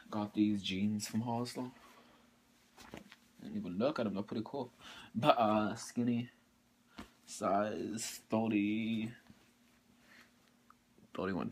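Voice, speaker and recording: A man's voice: on the low side, slight background noise. Rambling teenage guy showing off clothes. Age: teens. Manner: rambling, halting, many fillers and restarts. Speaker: sneaker vlogger. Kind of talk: casual haul video. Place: bedroom, indoors. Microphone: camera or phone mic up close.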